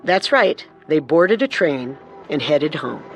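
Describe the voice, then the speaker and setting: A woman co-narrating a scripted true-crime story with measured, dramatic delivery. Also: low-pitched, very animated, muffled recording, soft background music.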